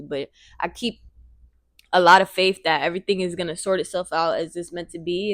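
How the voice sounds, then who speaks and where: A woman in her twenties talking straight to camera in a casual, rambling vlog, excited and repeating her phrases, speaking into a handheld microphone. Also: on the low side, no echo, clean studio sound. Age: 20s. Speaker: vlogger.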